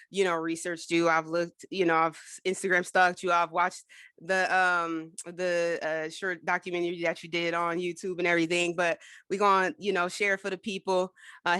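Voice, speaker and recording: A woman hosting an interview podcast, talking in an upbeat, casual and lively way. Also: fairly low pitch, clear, resonant tone, no echo, studio quality. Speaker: podcast host. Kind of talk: conversational interview.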